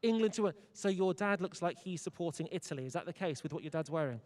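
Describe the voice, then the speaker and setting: An upbeat young man rattles off quick, hyped questions to fans at an outdoor fan zone. He talks fast and jokes with the people he meets. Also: on the high side, lively intonation, darkish tone. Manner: upbeat, hyped, jokey with guests. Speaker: roving fan zone presenter. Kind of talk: energetic outdoor broadcast chat.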